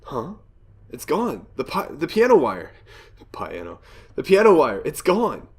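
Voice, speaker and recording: A man's voice: a little high, huge pitch swings, measured pace, vocal fry, husky. A young man hosting a let's play, chatting casually and thinking aloud as he plays, his voice a little nasal from a cold. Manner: chatty, thinks aloud, reads game text aloud. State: has a cold, slightly nasal. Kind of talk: casual gameplay commentary.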